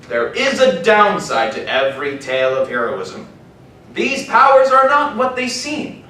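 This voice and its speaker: Man, scripted narration, expressive storyteller, swinging intonation, big echoey room, off-mic. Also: high-pitched. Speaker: narrator of a live audio drama table read. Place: large indoor panel room at a convention. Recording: echoey convention hall, distant mic, faint noise.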